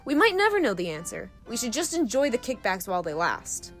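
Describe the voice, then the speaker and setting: Woman playing a lively, breezy young troublemaker. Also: on the high side, a little fast, soft background music. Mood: dismissive. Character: breezy, mischievous young troublemaker full of half-baked theories. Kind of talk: audio drama acting.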